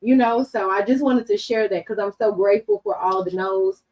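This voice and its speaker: A woman's voice: medium pitch, normal speed, darkish tone, recorded from a distance, muffled recording. A woman panelist giving faith-based personal testimony in emphatic, repetitive phrases.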